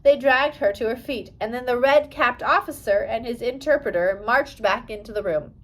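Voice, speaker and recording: A woman's voice: a little high, normal speed, low-quality recording. A woman narrating a storybook as an audiobook reader, carefully reading aloud with an occasional stumble over the text.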